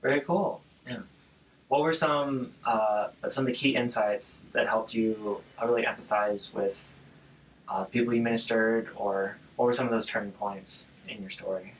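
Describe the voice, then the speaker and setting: A man hosting an interview, asking questions in a halting way with frequent uhs. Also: on the low side, steady tone, rough recording. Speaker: podcast host.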